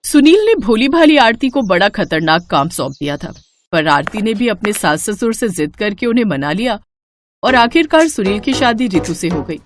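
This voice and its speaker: Animated woman narrating a storybook. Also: mid-range voice, moderate pace.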